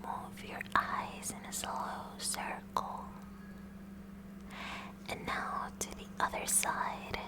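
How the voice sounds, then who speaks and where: Whispering, kooky science club president played by a woman. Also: lightly acted. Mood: focused, instructing. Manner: slow, repetitive, instructing. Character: kooky, curious female high school science club president. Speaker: ASMR roleplayer. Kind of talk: whispered ASMR roleplay.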